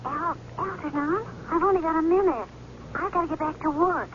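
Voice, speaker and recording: An actress playing a swindler's brisk, skeptical card-dealer girlfriend in a radio drama, wry and matter-of-fact. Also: extremely high pitch. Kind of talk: 1970s radio drama acting. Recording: dull old radio recording, faint noise.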